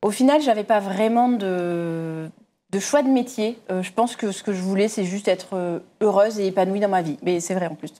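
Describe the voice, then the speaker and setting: A woman from southwest France with a dark, mellow voice, answering an interviewer's questions. She talks easily but hesitates often, filling pauses as she thinks. Her pitch sits at an ordinary middle height for a woman. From southwest France, near Bordeaux. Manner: easygoing, hesitant with many fillers. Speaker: guest, company security manager.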